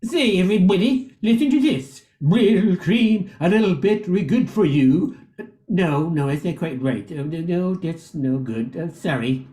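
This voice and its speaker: A woman's voice: fairly low pitch, animated, a little slow, warm tone. A woman playing an anxious, flustered young man who is new at the office, in a radio comedy. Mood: hesitant, eager. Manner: nervous, flustered. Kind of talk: old-time radio comedy acting.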